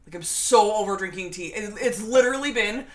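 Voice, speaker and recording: A woman's voice: medium pitch, fairly quick. A woman host chatting casually and reading viewer comments, congested from a lingering cold, with a sassy, teasing manner. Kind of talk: casual livestream chat.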